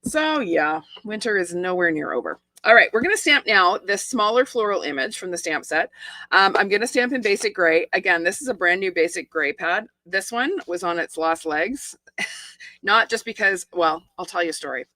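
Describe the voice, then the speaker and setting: A middle-aged woman with a croaky, hoarse voice, chatting casually as she demonstrates a stamping and card-making project step by step. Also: fairly low pitch, animated, normal speed, pushed and bright, no echo. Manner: chatty, explains each step with asides and small laughs. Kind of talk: casual live craft tutorial.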